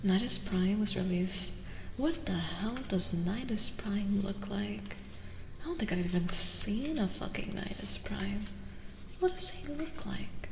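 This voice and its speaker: A woman streaming soft-spoken ASMR tarot readings, chatting in an unhurried, rambling way and often slipping into whispers. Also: medium pitch. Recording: roomy reverb with clear background noise.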